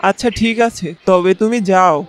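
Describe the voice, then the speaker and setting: A practical village housewife, voiced by a man, talks in a high pitch. She is a pious cartoon mother, heard over music. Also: steady tone, normal speed, lightly acted. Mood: agreeable.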